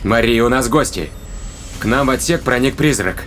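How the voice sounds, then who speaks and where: An actor playing a terse spaceship captain, in control, in a sci-fi audio drama with clipped delivery. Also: male voice, medium pitch, moderate pace, fairly bright tone, soft background music, lightly acted. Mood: alert.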